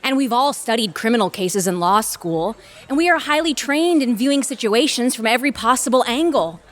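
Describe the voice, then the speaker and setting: Actress as a condescending, high-voiced female lawyer. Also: animated, fairly quick, lightly acted. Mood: smug, calculating. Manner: condescending, articulate, self-assured. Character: arrogant, calculating Harvard-trained female lawyer. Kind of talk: audio drama acting.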